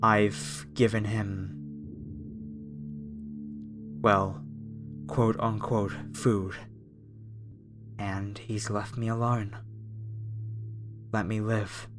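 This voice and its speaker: A man playing a fanatical masked cultist captor in a horror ASMR roleplay, speaking with reverent, preacherly menace and deliberate pauses. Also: fairly low pitch, little pitch movement, music in the background. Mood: fervent devotion.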